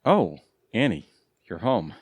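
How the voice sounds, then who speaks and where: A man's voice: lowish voice, sing-song, slow pace, slightly rough, no echo, heavily acted. A man acting a hapless, defensive middle-aged husband in a comedy audio drama, flustered and bumbling. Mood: sheepish.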